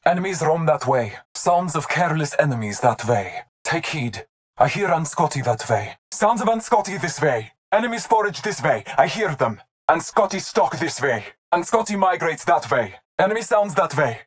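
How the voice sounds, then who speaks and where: Solemn hunter with swooping pitch. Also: female voice, big pitch swings, normal speed. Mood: watchful. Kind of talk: video game voice lines.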